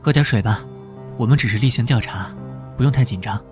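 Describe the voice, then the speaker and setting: A man playing an earnest police detective who gets flustered and jealous in private, in an animated drama. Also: mid-range voice, a little fast, natural voice. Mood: official, calm. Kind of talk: animated drama voice acting with inner monologue. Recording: loud background music under the voice.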